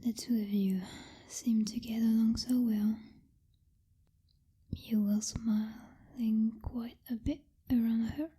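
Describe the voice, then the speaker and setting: A woman acts out a possessive vampire girlfriend in an intimate ASMR roleplay. Her voice is a dark, velvety whisper, very breathy and close to the mic. She speaks slowly, with lingering pauses and hums, bossy and teasing yet secretly tender. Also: a little high, fairly even intonation, exaggerated voice. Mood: jealous, vulnerable. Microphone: close-up microphone.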